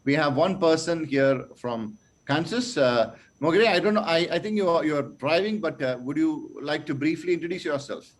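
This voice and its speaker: A man's voice: a little high, expressive, normal speed, few pauses. Earnest man chairing an online club meeting. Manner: hesitant with many fillers, rising to earnest rhetorical questions. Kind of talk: formal meeting chairing, then motivational speech.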